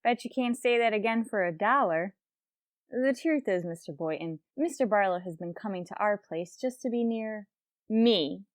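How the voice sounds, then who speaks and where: An actress playing a wry, lovelorn schoolteacher with deadpan, sarcastic wit in an old-time radio comedy. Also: female voice, medium pitch, normal speed, dry recording, lightly acted. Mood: dry, wry.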